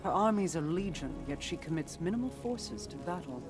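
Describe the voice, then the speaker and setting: A woman's voice: on the low side, lively intonation, over loud music, lightly acted. Woman as solemn, commanding alien leader. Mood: grave. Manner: solemn, deliberate, formal. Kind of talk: video game voice acting.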